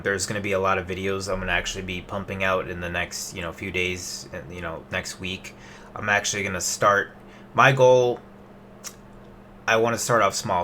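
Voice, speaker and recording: A man in his late twenties talks casually at his desk, rambling through an investing update with lots of fillers and restarts. His voice sits a little low but comes across bright and forceful. A faint hum of background noise sits under the webcam sound. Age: 20s. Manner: loose and chatty, many fillers and restarts. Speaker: investing vlogger.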